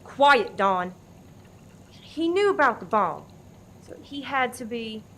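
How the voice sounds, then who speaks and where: A bossy sarge played by a woman in a comedic radio play. The voice is fairly high and forceful, handing out threats and orders at an unhurried pace. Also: sing-song, exaggerated voice. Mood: stern. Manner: commanding, barking orders.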